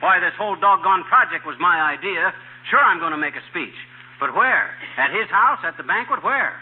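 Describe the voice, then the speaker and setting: An actor with an American accent playing a boastful, grumbling small-town husband in an old radio comedy, slangy and indignant. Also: male voice, high voice, lively intonation, fairly quick. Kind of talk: 1940s radio comedy acting. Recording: noisy, tinny old radio broadcast.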